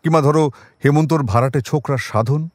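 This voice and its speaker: An actor playing a thoughtful, unhurried detective in an audio drama, musing and explaining deliberately. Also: male voice, fairly high pitch, no room sound, clean studio sound, natural voice. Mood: reasoning. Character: thoughtful, unhurried male detective reasoning through suspects.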